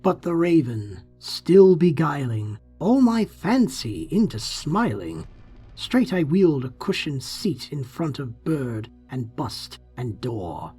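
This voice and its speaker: A man narrating gothic poetry in a theatrical, brooding manner, with deliberate phrasing. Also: fairly high pitch, sing-song, warm tone, husky, faint music behind. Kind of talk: dramatic gothic poetry reading.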